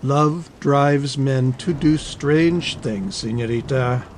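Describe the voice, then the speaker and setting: A man playing a scheming army captain in a dramatic audiobook, speaking formally with smooth menace. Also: mid-range voice, slow pace, a little mellow, a little hiss or hum, close to an everyday voice. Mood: smooth, menacing. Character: scheming, coercive male army captain, a suave villain. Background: ambience under the voice.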